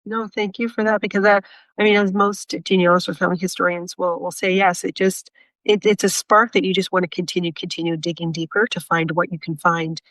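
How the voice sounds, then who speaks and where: A woman's voice: lowish voice, flat delivery, a little fast, a bit bright, dry recording, rough recording. A woman hosting a history podcast, asking questions with supportive, somewhat hesitant phrasing. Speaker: podcast host. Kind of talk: interview questions.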